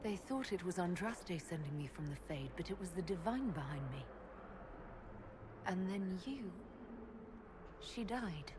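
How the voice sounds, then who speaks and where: An actress playing a measured, inquiring leader in a fantasy game. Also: female voice, lowish voice, animated, noisy background. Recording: game audio over music.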